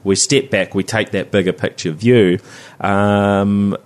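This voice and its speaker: New man, podcast host, fairly low voice, rambling, chatty. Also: fairly even intonation, slow pace. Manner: rambling, many "you know" fillers and restarts. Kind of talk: casual interview chat.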